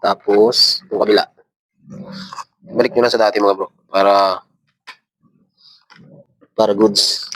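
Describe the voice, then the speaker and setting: A man's voice: on the low side, little pitch movement, takes it slowly, long pauses, fairly bright tone, poor audio. A man with a Filipino accent hosts an informal tutorial, talking casually and instructing step by step as he handles parts. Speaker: tutorial host. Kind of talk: casual hands-on tutorial talk.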